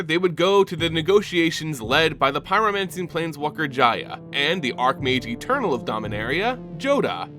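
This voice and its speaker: A man delivers dramatic scripted story narration in a bright, forceful voice. His pitch sits a little high and swings widely, with deliberate, theatrical phrasing. Guitar music plays underneath. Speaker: channel host and narrator.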